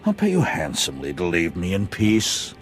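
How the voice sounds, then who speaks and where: An actor playing a pompous, blustering, self-important corporate administrator in a video game. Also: male voice, low voice, huge pitch swings, moderate pace, soft background music. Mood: indignant.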